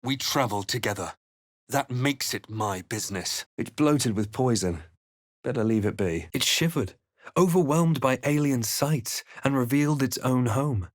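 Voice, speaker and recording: A man playing a composed, wry adventurer hero in a video game, telling events in a measured, literary way. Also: mid-range voice, no room sound, clean studio sound. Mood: hushed, reflective. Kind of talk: scripted video game narration and battle lines.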